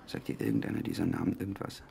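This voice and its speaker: A man's voice: low voice, steady tone, rapid-fire, gravelly, mellow, a little hiss or hum. An actor playing an eager young male journalist in a radio drama, questioning methodically.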